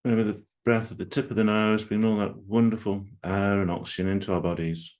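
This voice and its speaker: A man with a low voice guides a meditation for a group. He speaks gently and takes his time, leaving long, open pauses between short phrases. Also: level tone. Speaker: meditation guide leading a live group session.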